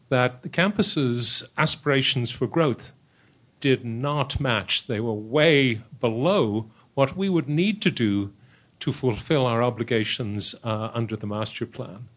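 A man moderating a university panel discussion, posing a long question in a measured way with frequent fillers. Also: medium pitch, a bit bright.

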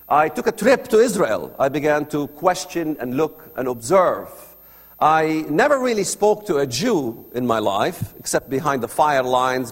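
A man's voice: on the high side, long reverb tail. A man telling his personal story to an audience, earnest and full of rhetorical questions. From Palestinian territories. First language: Arabic. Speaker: speaker giving testimony. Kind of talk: personal testimony talk to an audience.

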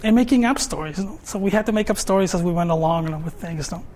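A man's voice: high voice, fast, warm tone. A man in his fifties from Tijuana with a Mexican accent, speaking as an artist guest in a hesitant, filler-heavy way, ending phrases with "no?". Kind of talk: conversational talk on stage.

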